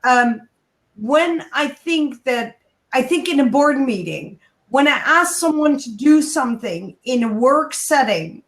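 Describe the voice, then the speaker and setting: A woman's voice: a little high, a bit bright, echoey room. A woman, a guest on an interview show, giving emphatic, coaching advice and addressing listeners directly. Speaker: guest, kindness speaker.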